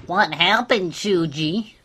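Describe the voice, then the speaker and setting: A man's voice: high-pitched, takes it slowly, noisy background. A man voicing a needy, pitiful little boy, someone's kid brother, in a cartoon parody. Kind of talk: fan parody cartoon dub.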